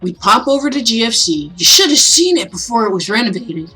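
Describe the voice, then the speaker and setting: Woman voicing a folksy older male mayor, husky. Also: a little high, sing-song, fairly quick, pushed and bright, soft background music, exaggerated voice. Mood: folksy, joking. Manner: jokey, folksy, oratorical. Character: affable older male mayor giving a folksy, rousing speech.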